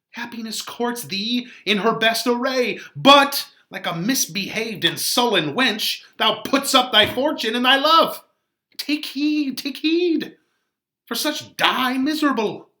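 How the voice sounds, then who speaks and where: Male reader as scolding friar, Shakespeare stage reading, declamatory, exasperated, animated and sharp-edged. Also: very high-pitched, takes it slowly, pitched above the performer's own voice, full character voice. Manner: emphatic, declamatory, archaic verse. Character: exasperated, scolding older friar, a man, urging good sense. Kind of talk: dramatic reading of a Shakespeare play.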